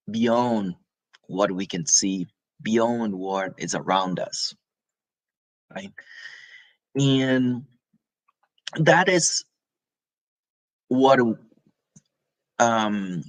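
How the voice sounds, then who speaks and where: A man from Brazil, presenting a spiritual talk in a halting, informal way with frequent restarts and tag questions, coughing now and then. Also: fairly low pitch, fairly bright tone, rough recording.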